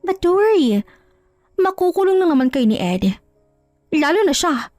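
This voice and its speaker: A woman's voice: very high-pitched, sing-song, moderate pace, a little mellow, dry recording, faint music behind, pitched above the performer's own voice. A woman voicing a proud, betrayed wife in an audio drama, taunting, sarcastic and coldly vengeful. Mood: scornful, taunting. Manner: taunting, sarcastic, mixes in English.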